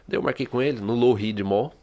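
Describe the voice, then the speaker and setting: A man's voice: mid-range voice, a little mellow. A man from Brazil co-hosting a podcast, telling stories in casual chat with lots of é and sabe. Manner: rapid storytelling, frequent é and sabe. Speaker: podcast co-host.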